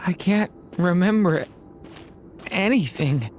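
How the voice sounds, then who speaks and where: A woman voicing a fragile, lost young boy in a game, speaking haltingly and plaintively. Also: lowish voice, lively intonation, a little mellow, slight background noise. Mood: weak, confused. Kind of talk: dramatic game voice acting.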